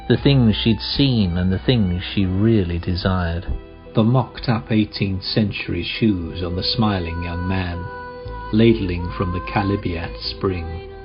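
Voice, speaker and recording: A man narrating a radio play as a measured, reflective storyteller. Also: on the low side, dull audio, lightly acted.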